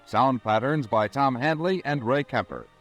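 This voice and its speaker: A man's voice: medium pitch, moderate pace, fairly bright tone. American man, formal old-time radio announcer over music. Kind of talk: scripted 1950s radio drama credits announcement.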